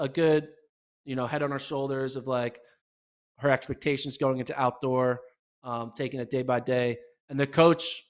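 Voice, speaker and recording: A man hosting a casual solo podcast recap, chatty and excitable, with a voice going hoarse. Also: medium pitch. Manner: excitable, chatty, many fillers. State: losing his voice. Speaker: podcast host.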